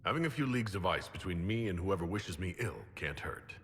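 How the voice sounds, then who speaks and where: Noble mage hero, deep voice, lively intonation, earnest, polite, game dialogue, hall reverb. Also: male voice, brisk, slight background noise. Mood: measured, firm. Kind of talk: video game voice acting.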